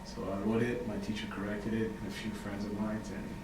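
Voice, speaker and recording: A young man from Haiti, a poet and writer, talking casually as an interview guest, relaxed and full of fillers. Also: lowish voice, fairly quick. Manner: relaxed, chatty, many fillers and "you know". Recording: echoey room, mic far off, noisy.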